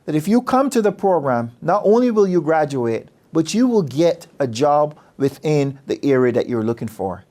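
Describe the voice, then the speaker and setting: A man from Barbados, a business school dean speaking about his program in a measured, earnest, persuasive way. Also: a little high, big pitch swings, warm tone. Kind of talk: promotional interview talk.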